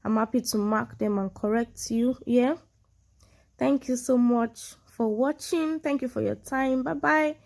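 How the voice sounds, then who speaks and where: Woman, Nigerian accent, non-native English speaker, sonorous, mellow, creaky edges, informal tutor explaining by example. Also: on the high side, unhurried. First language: a Nigerian language, not English. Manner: explains with examples, frequent check-in tags like "yeah" and "you know". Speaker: online English exam tutor. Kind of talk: informal instructional talk to camera.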